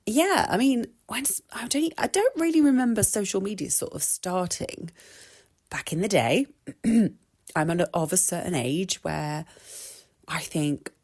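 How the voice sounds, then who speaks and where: A woman's voice: mid-range voice, expressive, measured pace, a little mellow. Chatty English woman, slightly bunged up. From England. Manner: chatty, rambling, with many restarts and laughs. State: slightly congested from a cold. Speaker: podcast host. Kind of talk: casual solo podcast monologue.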